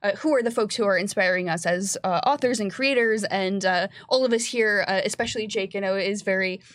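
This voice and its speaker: A woman's voice: mid-range voice, a little fast, dry recording. Woman moderating a panel, dry sound. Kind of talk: casual panel discussion.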